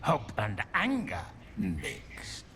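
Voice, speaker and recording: A man playing a tormented, bitter demon in a video game, whispering in halting fragments. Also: fairly low pitch, wildly swooping pitch, a crowd in the background, exaggerated voice. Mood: pained, bitter. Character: tormented demon spirit, sharp, brooding, bitter. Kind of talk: video game character acting.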